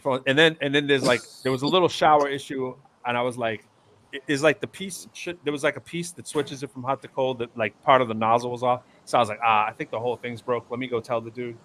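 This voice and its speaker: A man's voice: medium pitch, steady tone, fast talker, a bit bright, slight background noise. A man hosts a casual live stream from a hotel stay, winding through stories. He keeps restarting his sentences and filling the gaps with "you know" and "um" in a mid-range voice. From United States East Coast. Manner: rambling storyteller with many fillers and restarts. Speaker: show host. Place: hotel area in New York City.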